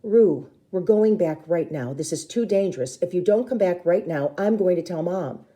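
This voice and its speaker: An anxious older sister from a storybook, voiced by a woman reader. She protests and insists, trying to take charge. Her voice is mellow but jumps around in pitch. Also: animated, normal speed, natural voice. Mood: worried, warning. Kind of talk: storybook character reading.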